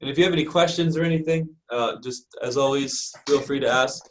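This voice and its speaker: An American man lecturing to a class as a university instructor, in a halting way padded with frequent filler phrases. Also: mid-range voice, slight background noise, rough recording. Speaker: university lecturer in special education.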